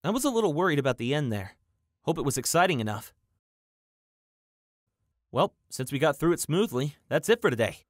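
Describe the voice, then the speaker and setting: A man's voice: a little high, very animated, brisk, long pauses, dry recording, studio quality. Man as confident, light-voiced anime schoolboy. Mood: relieved. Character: confident, earnest teenage male student making his case. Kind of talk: anime fan dub acting.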